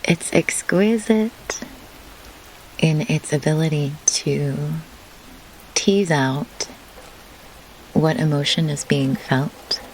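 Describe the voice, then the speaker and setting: A woman leading a guided meditation in measured, deliberate phrases with pauses, as a host who works as a grief doula. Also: deep voice, low-quality recording. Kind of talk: slow guided meditation instruction. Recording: clear pink-noise rain hiss behind. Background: pink noise like steady rain.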